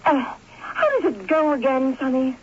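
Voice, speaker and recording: Casting: shrewd agency boss, measured yet lively inflection, vintage radio drama, muffled noisy recording. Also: female voice, high-pitched. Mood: prompting, smooth. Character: shrewd, firm but tactful female advertising agency executive. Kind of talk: 1970s radio drama acting.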